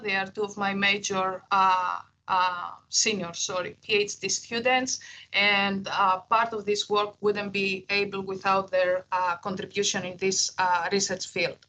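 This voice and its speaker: Woman, Greek accent, middle-aged, online lecture, lots of fillers, bright tone. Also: medium pitch, low-quality recording. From Greece. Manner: frequent "uh" fillers, earnest and explanatory. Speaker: associate professor giving a keynote. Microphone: video call.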